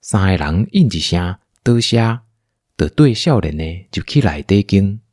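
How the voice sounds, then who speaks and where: Man, Taiwanese accent, low voice, animated storytelling, dry studio sound. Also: moderate pace, dry recording. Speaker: narrator. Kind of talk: scripted storytelling narration for an audio drama.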